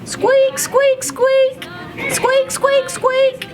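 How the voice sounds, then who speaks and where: A woman voicing a tiny, excitable male pet hamster in short repeated squeaks for a children's storybook. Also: very slow, over music, exaggerated voice. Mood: excited squeaking.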